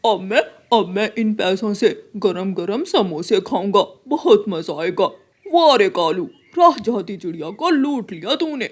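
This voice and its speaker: A woman's voice: mid-range voice, expressive, unhurried. A woman voicing a sly male crow thief in a cartoon, whiny and gloating, with a dramatic way of repeating words.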